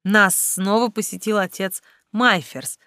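A woman's voice: medium pitch, fairly even intonation, measured pace, bright, forceful tone, dry recording, studio quality. Woman reading as a cheeky young bride-to-be. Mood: practical. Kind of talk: audiobook character acting.

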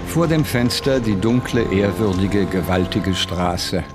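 An actor playing a reflective, melancholy narrator in a radio drama, speaking in a measured, deliberate way. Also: male voice, deep voice, lively intonation. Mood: melancholy observing. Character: reflective literary narrator, melancholy, observing old Berlin. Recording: music behind the voice.

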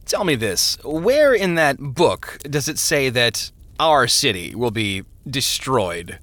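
A man's voice: medium pitch, sing-song, slow, a bit bright, no echo. A man voicing a smug, falsely genial parson in an audiobook story, talking down in a condescending way. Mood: genial, probing. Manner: condescending, hesitating mid-phrase.

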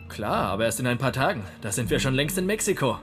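A man's voice: mid-range voice, big pitch swings, quick pace, a bit bright. A brash, practical young ex-convict in a radio crime drama. His talk is offhand, blunt and full of slang, in a medium male register. Music and vehicle sounds sit faintly behind him. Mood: cocky. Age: young adult.

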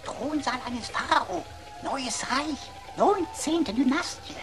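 An actress playing an eager, naive computer sidekick in a German sci-fi radio play, terse and lecturing. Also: female voice, high-pitched, animated, slow pace, music in the background. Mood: precise, matter-of-fact.

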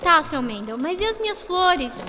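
A woman's voice: very high-pitched, sing-song, normal speed, noisy background. A woman playing a curious, candid schoolgirl in a radio drama, speaking informally and inquisitively. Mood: puzzled, curious. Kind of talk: scripted radio drama dialogue. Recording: hall reverb, clear music bed.

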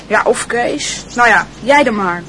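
A woman's voice: fairly high pitch, lively intonation, measured pace, poor audio. A woman acting a cocky, scheming teenage schoolboy in a radio play, with a brash, bossy manner. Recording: loud crowd noise behind.

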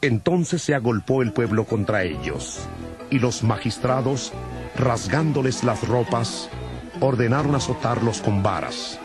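A man's voice: medium pitch, expressive, measured pace. Male Bible narrator over music. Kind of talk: scripted dramatic Bible narration.

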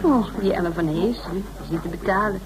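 A woman's voice: mid-range voice, fairly quick, close to an everyday voice. A woman acting in a Dutch radio play as a chatty, blunt, busy friend with a breezy, exclamatory way of talking. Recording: clearly noisy radio play recording.

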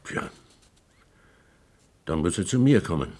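A cautious village priest. His voice is very deep, and he speaks in a measured, formal way while he evades every demand. It is a role in a radio play. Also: big pitch swings, a little mellow, full character voice. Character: cautious middle-aged male village priest, measured and evasive.

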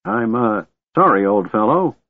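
A cranky, excitable old wizard. The voice is raspy and pitched well up, jumping about as he blusters and sputters. He sounds scatterbrained and easily flustered. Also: unhurried, no room sound, narrow, tinny audio, exaggerated voice. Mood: sheepish. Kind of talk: audiobook fantasy character voice.

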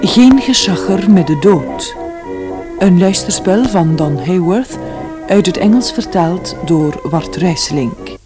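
A female radio announcer reads a scripted drama introduction. She speaks slowly and deliberately over loud music. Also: fairly low pitch, lively intonation.

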